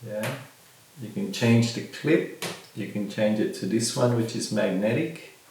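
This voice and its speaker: A middle-aged man with a gravelly voice, casually showing and reviewing a product at a table in a bare room, picked up by a camera a few metres away. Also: lowish voice, measured pace, darkish tone. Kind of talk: casual unboxing demonstration. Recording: very echoey room, distant mic, faint noise.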